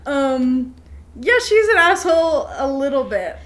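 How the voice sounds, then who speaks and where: A woman's voice: high-pitched, sing-song, a little slow. A young woman co-hosting a podcast in loose, casual chat with a friend, blunt and informal. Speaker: podcast co-host.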